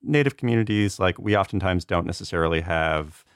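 A man's voice: sits low, fairly quick, smooth, dry recording, studio quality. An American man in casual conversation, speaking earnestly in a rambling way peppered with "like". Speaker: podcast panelist, TV writer. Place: remote video chat recording.

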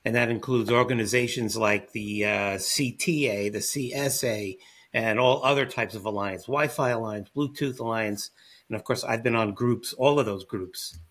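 A man's voice: medium pitch, moderate pace, a bit bright. A man who is a guest expert on a panel podcast, explaining his points in a confident way. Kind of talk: panel discussion.

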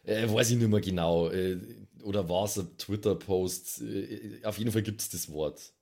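Low-voiced man chatting through a tabletop game. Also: unhurried, gravelly, dark tone. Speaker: tabletop role-playing player. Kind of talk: casual improvised chat. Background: music.

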